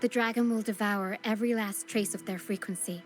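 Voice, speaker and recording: A woman playing a caring, solemn young woman in a video game, speaking in a measured and earnest way. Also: mid-range voice, fairly even intonation, lightly acted. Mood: solemn, explanatory. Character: caring young woman guiding through a ghostly mist, solemn and gentle. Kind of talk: scripted video game acting. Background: game music.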